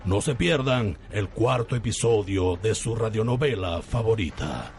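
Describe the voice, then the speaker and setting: A man's voice: lowish voice, expressive, a little slow, vocal fry, darkish tone, a little hiss or hum. A man with a Spain accent hosting and narrating a parody radio soap in dramatic scripted style. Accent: Spanish (Spain). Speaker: radio show host and narrator.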